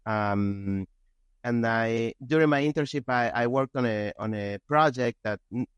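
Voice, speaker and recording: A man from Argentina with an Argentine accent and Spanish as first language, telling his story casually and haltingly as a guest. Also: mid-range voice, smooth, no echo, muffled recording. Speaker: podcast guest, entrepreneur.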